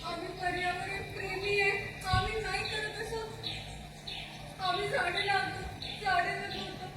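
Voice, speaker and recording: A woman's voice: extremely high pitch, flat delivery, unhurried. A woman playing a frightened young captive in an amateur stage play, pleading earnestly for freedom. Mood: earnest, persuading. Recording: very echoey noisy hall, far from mic.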